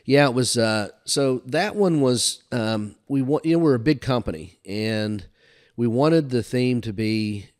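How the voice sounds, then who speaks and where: A man's voice: mid-range voice, a little slow, darkish tone. An older man telling anecdotes in a casual, folksy way as a guest, often recounting conversations. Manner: folksy, recounts dialogue back and forth. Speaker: podcast guest telling career stories.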